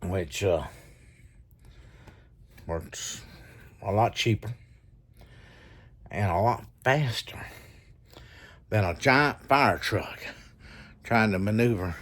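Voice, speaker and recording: A man's voice: lowish voice, very slow, long pauses, fairly bright tone. A man with a slightly scratchy throat, rambling folksily in a casual vlog with lots of fillers. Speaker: vlogger. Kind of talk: casual rambling monologue to camera.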